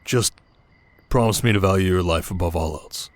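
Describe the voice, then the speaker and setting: A protective desert king from an ASMR fantasy drama, acted by a man. His voice is low, steady and measured. He speaks intimately, as a devoted husband would to his queen. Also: no room sound, natural voice. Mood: concerned, tender. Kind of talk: ASMR audio roleplay drama.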